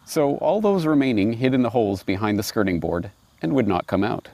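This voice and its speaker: A middle-aged man presenting and reading aloud in a musing, wry, expressive way, recorded outdoors on a lapel mic. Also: medium pitch, fairly quick. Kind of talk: reflective commentary and storybook reading. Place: outdoors in a grassy park.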